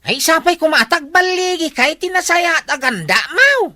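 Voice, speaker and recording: A woman with an Ilocano accent playing a nosy, excitable, complaining villager in a radio comedy drama. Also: extremely high pitch, moderate pace, no echo, clean studio sound, heavily acted. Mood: excitable, curious.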